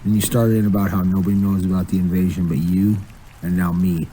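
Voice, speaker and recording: A man's voice: low voice, flat delivery, fairly quick, dark tone, a little hiss or hum, poor audio. A man doing spoken-word storytelling in a slangy, profane, casual manner.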